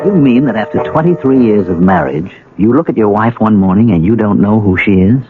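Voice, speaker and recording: An actor playing a calm, methodical man asking probing questions in a radio drama. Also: lowish voice, brisk, faint music behind, close to an everyday voice. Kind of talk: 1980 radio drama acting. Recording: muffled, narrow-band old radio recording.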